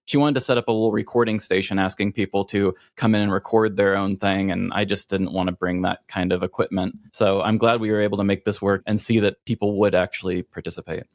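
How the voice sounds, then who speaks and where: Male podcast co-host, lower-pitched, close dry sound, casual chat. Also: flat delivery, fast talker, few pauses, no room sound.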